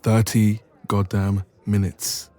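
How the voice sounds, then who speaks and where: A man's voice: on the low side, slow. A man playing a playful, teasing young best friend in a romantic roleplay audio, chatting casually and intimately. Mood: exasperated. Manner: playful, teasing, expressive, soft laughs.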